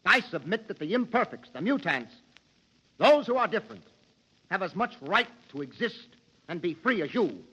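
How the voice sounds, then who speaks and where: Bright-voiced defiant mutant prisoner. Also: male voice, high voice, very animated, moderate pace, slightly rough, muffled recording, heavily acted. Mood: impassioned. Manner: earnest, rhetorical, formal phrasing. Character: defiant mutant prisoner, impassioned and yearning for rights. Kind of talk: 1950s radio drama acting. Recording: 1950s radio recording.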